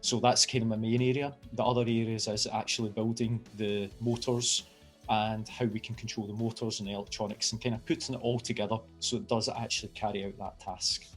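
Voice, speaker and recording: Glaswegian guy, middle-aged lecturer, chatty science talk, bit of quiet music behind. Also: lowish voice, flat delivery, a little fast. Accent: Glaswegian Scottish. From Scotland. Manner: enthusiastic, chatty, with fillers and restarts. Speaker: university engineering lecturer. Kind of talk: informal science outreach talk.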